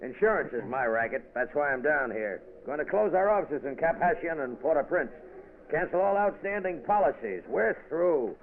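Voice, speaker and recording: A man's voice: a little high, expressive, moderate pace, a little hiss or hum. An actor playing a brash, slangy American insurance man in an old radio drama. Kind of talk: 1940s radio acting. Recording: tinny old radio broadcast.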